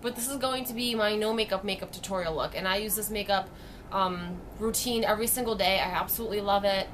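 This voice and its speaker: A young woman, slightly hoarse from a sore throat, talks casually through a makeup tutorial. She explains each step as she applies it. The sound comes from a webcam in her bedroom. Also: mid-range voice, quick pace, fairly bright tone, a little hiss or hum. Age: young adult. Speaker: beauty vlogger. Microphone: webcam at desk distance.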